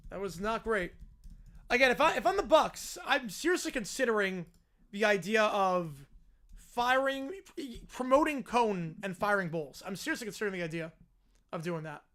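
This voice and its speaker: Hoarse, high-voiced male sports streamer. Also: animated, moderate pace, fairly bright tone. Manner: chatty, reads and answers viewer comments. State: hoarse, voice worn out. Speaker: livestream host. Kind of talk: casual live sports reaction chat.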